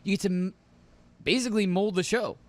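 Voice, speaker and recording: A man's voice: high-pitched, fairly quick. A man hosting a casual pop culture podcast, chatty and prone to repeating himself while he stalls. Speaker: podcast host. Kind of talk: casual chat.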